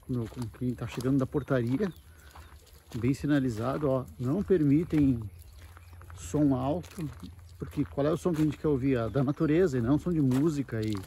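Male vlogger, creaky relaxed voice, conversational tour-guide delivery, light outdoor noise. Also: medium pitch, moderate pace. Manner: explanatory, conversational with frequent check-in tags. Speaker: camping travel vlogger. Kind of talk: casual walking tour narration. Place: outdoors at a wooded campground. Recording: noisy outdoor handheld recording.

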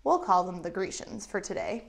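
A woman in her thirties with a lapel mic, telling a Bible story to children in an animated way with acted-out voices. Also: medium pitch, normal speed, creaky. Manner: animated, acts out voices of characters. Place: indoors in front of a map backdrop.

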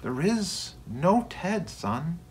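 A man's voice: a little high, sing-song, extremely slow, voice pushed up, heavily acted. A man voicing an emphatic, exasperated father in a storybook. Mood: stern. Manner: emphatic, forceful. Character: stern exasperated father, grown man, softening to wonder.

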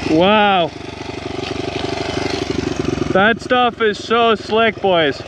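Young man, 20s, high voice, excited riding commentary, loud engine noise, outdoor action camera. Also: drawn-out words. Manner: hyped, exclaiming, cheering himself on. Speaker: dirt bike vlogger. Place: outdoors in snowy forest, riding a dirt bike. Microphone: helmet or action camera, then selfie camera at arm's length. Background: motorcycle engine.

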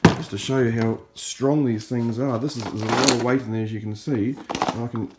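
A man's voice: mid-range voice, fairly even intonation, a little fast, very noisy. A man in his fifties with a New Zealand accent, explaining things informally and unhurriedly in a casual fishing vlog. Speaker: fishing vlogger.

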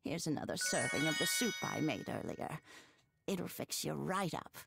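A woman playing a doting, teasing old farm grandmother in a cozy video game, with a creaky, homespun delivery. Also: low-pitched, music in the background, heavily acted. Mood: warm fussing. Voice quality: creaky, aged. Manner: slow, homespun, expressive.

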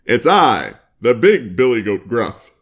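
A big, ugly billy goat from a storybook, acted by a man. He is bold and threatening, his hoarse voice swinging widely in pitch. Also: very animated, slow pace, exaggerated voice. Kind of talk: storybook character voice.

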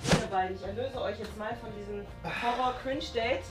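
A young woman with a high voice hosts in a casual, playful manner. She is recorded indoors, with faint music behind her. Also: fairly quick. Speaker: host of an online prank date show.

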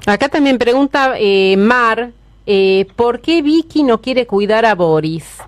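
A woman's voice: a little high, lively intonation, takes it slowly. A woman with an Argentine accent hosting a casual radio interview. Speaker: radio host. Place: radio studio.

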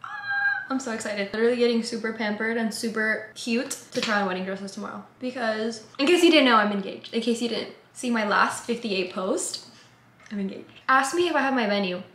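A woman's voice: on the high side, big pitch swings, brisk, fairly bright tone, reverberant, slight background noise. A young woman in her twenties chatting casually to camera in a vlog, bubbly and full of "like", recorded in a small room at home. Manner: chatty, bubbly, heavy use of "like". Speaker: vlogger.

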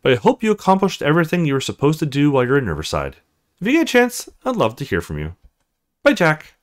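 A man voicing a small, timid, earnest young woman from a visual novel in a gentle, apologetic manner. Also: on the high side, wildly swooping pitch, quick pace. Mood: gentle concern.

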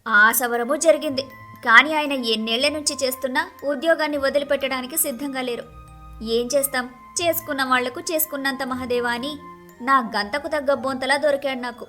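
Woman acting bright, high-pitched, envious young wife. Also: fairly quick, soft background music. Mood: grumbling envy. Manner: expressive, dramatic complaining and wheedling. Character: envious, grumbling young married woman dazzled by wealth. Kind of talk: animated moral-story voice acting.

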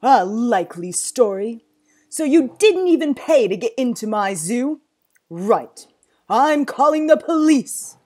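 A woman's voice: very animated, slow, warm tone, exaggerated voice. A woman voicing a pompous, furious male zoo owner who bellows and blusters indignantly in a storybook reading. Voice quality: booming, bellowing. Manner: blustering, indignant, emphatic questions.

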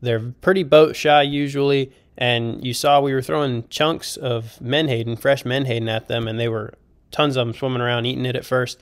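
A young man fishing on a boat, calling out excitedly as the fish bite, with stretches of relaxed narration about the trip. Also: mid-range voice, dry recording. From United States. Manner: excited, quick exclamations while fishing. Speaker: fishing vlogger. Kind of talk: casual fishing commentary and voice-over. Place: out on a boat on the open water. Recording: wind noise on an outdoor boat mic.

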